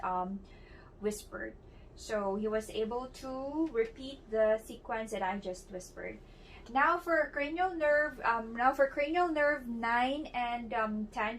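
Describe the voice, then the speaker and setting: Higher-voiced woman explaining an exam. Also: fairly bright tone. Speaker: student demonstrating a clinical exam. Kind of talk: clinical skills demonstration. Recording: distant mic with faint background noise.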